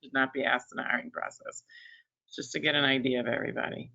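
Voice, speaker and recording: Gravelly, bright-voiced woman in her fifties. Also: very deep voice, little pitch movement, highs cut off. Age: 50s. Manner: chatty, many fillers and restarts, quick to laugh. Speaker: resume writing business owner and panelist. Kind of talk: conversational webinar discussion.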